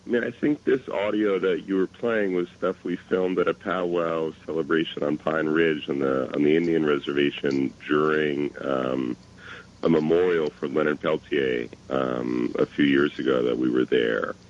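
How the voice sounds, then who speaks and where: Male interview guest, extremely low voice, conversational and a bit hesitant, lo-fi broadcast sound. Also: steady tone, normal speed. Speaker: interview guest, documentary filmmaker.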